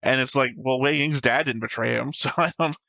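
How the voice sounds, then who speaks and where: A man's voice: medium pitch, a little fast, vocal fry, bright, forceful tone, no echo, clean studio sound. A man co-hosting a reaction show, picking apart points in casual debate with a precise, argumentative manner. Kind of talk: casual debate about an animated series.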